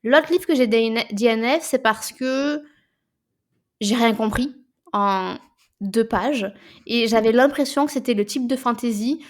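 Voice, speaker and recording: Chatty Québécoise podcaster. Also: female voice, on the high side, a little slow. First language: French. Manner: animated, repeats words when searching, trails off quietly. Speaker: podcast co-host. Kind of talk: casual book chat.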